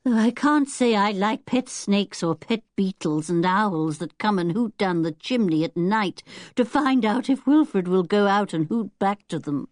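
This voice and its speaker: A woman as a kindly older British lady, genteel, fussing, chatty, expressive pitch, storybook acting, dry recording. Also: unhurried, no echo, lightly acted. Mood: fondly amused. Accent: British English. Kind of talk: children's audiobook character acting.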